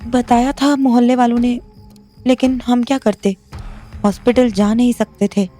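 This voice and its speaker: An actress playing an anxious married woman in an audio drama, speaking in hushed, worried questions. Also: on the high side, little pitch movement, normal speed, faint music behind, natural voice.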